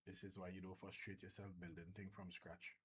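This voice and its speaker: A Trinidadian man with a Trinidadian accent, talking casually through a software demo and thinking aloud, often restarting his sentences. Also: low voice, level tone, brisk, slight background noise. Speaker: software developer vlogger. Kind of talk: casual screen-share demo.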